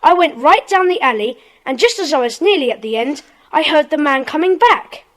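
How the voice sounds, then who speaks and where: A woman playing an excited schoolboy detective, earnest and eager, in a children's audio drama. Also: high-pitched, normal speed. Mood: excited, puzzled.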